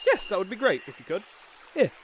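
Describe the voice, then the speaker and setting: A man's voice: sits high, huge pitch swings, brisk, background noise. A man playing a pompous, stubborn noble knight in a comedy fantasy sketch, haughty and indignant. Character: pompous, blustering noble knight, proud and obstinate.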